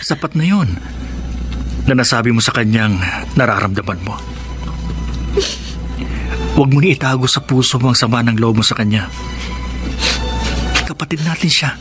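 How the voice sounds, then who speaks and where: A Filipino man playing a patient, protective older brother in a radio drama, speaking gently and earnestly. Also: medium pitch, normal speed, pauses often, music in the background, natural voice. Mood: calm, consoling.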